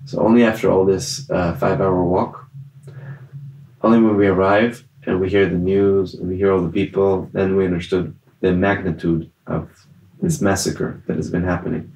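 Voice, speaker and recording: A young man from Israel whose first language is Italian tells his story in an interview. He talks in an earnest, halting way, full of fillers and restarts, with a low voice. The room around him is echoey. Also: little pitch movement, darkish tone. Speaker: guest, festival survivor. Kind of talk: personal testimony in interview.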